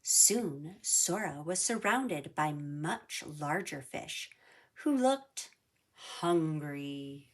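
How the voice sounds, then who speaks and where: A woman reads a children's story aloud with very lively, swooping intonation. Her voice sits a little low, and she takes her time, shaping each phrase deliberately for young listeners. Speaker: storybook reader. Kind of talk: expressive children's read-aloud narration.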